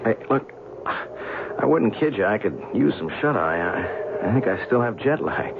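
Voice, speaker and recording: A man's voice: fairly low pitch, lively intonation, a little slow, gravelly. A man playing a skeptical, weary, wry scientific investigator in an old-time radio drama, speaking colloquially and hesitantly. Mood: sheepish, weary. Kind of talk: old-time radio drama acting with first-person narration. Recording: noisy, muffled old radio show recording.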